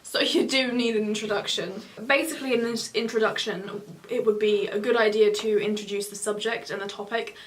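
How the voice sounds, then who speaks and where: A young woman, a student, giving exam advice in a casual on-camera chat, talking fast with lots of self-corrections. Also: medium pitch, a little hiss or hum, low-quality recording. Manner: chatty, animated hand gestures, self-correcting. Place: small home room, indoors. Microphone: camera in front of two seated speakers.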